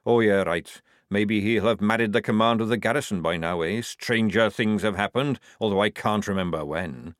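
A man's voice: fairly low pitch, moderate pace, few pauses, no room sound, clean studio sound, lightly acted. Man voicing a blustering, hearty old army sergeant. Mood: brisk, sardonic. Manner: blustering, barking commands with folksy phrases.

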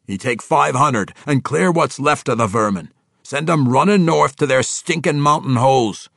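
A fierce dwarf king speaks forcefully in rustic dialect. The delivery is raised and loud, grim and hungry for a fight, recorded dry. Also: medium pitch, normal speed, creaky, no room sound, voice pushed up. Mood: commanding. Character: fierce, bloodthirsty dwarf kings, stout and grim.

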